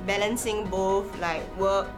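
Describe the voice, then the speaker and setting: A woman's voice: medium pitch, fairly even intonation, a little slow. A woman, talking casually and chattily in an interview. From Singapore. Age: 20s. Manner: chatty, many "like" fillers. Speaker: interviewee, young mother. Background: background music.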